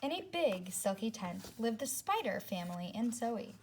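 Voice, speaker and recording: A woman teacher reading a story aloud to young children, speaking expressively and deliberately, with asides to her second-grade listeners. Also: on the high side, sing-song, noisy background.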